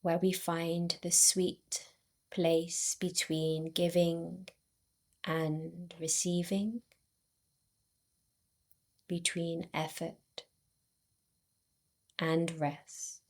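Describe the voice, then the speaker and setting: A woman guiding a yoga nidra relaxation in a soothing, unhurried way, with long pauses between short phrases. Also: fairly low pitch, flat delivery. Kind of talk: guided meditation.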